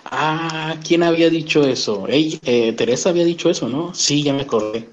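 A man's voice: on the high side, normal speed, lo-fi sound, slight background noise. A man, chatting casually, asking questions and joking lightly. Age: 30s. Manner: conversational, asks questions, jokes lightly. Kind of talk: casual chat.

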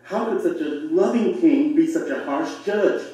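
An actor playing an earnest, questioning young student in an audio drama, with an expressive delivery. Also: male voice, fairly high pitch, normal speed, close to an everyday voice. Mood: reflective. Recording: echoey distant playback in a room.

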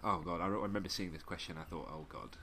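A man's voice: sits low, racing. A man co-hosting a casual podcast, reading listener messages aloud and joking and laughing as he goes. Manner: joking and laughing often, relaxed slangy talk. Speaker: podcast co-host.

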